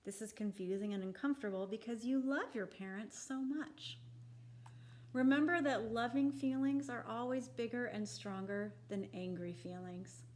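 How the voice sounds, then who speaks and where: A woman reading a children's picture book aloud in a deliberate, expressive, instructive way for young listeners. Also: on the high side, a little hiss or hum.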